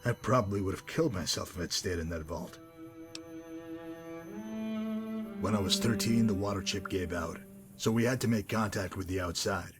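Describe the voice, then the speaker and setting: Man acting a low-voiced, menacing wasteland hitman. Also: over music, close to an everyday voice. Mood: grim reminiscing. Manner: measured, deliberate, coolly condescending. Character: menacing, calm male wasteland hitman with a bitter past. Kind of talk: dramatic video game mod monologue and dialogue.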